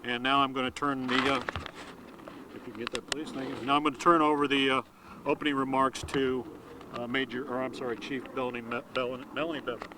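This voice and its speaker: A man acting as a police spokesman and emcee, introducing guests haltingly and stumbling over names. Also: mid-range voice, little pitch movement, noisy background. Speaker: police media relations officer, emcee. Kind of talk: informal introductions at press conference.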